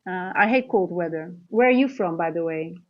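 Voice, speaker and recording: A woman's voice: fairly low pitch, normal speed. A woman from the Netherlands with a Dutch accent, chatting informally as host of a livestream and talking directly to viewers. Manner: informal, conversational, addressing chat directly. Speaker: livestream host, trading coach. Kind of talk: casual livestream chat. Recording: dull lo-fi livestream audio.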